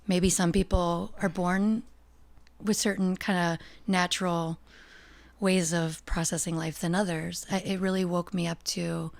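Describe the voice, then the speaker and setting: Earnest American woman, lowish voice. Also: level tone, measured pace, gravelly. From United States. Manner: reflective, earnest, laughs easily. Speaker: podcast guest. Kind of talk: casual interview talk.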